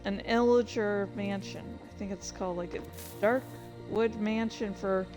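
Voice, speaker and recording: Woman streamer just chatting, kinda slow n scattered, swears a bit, soft music in the back. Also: mid-range voice, steady tone, low-quality recording. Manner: scattered, easily distracted, swears casually. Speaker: streamer and host of a dramatic reading series. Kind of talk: casual, rambling livestream chat while playing a game.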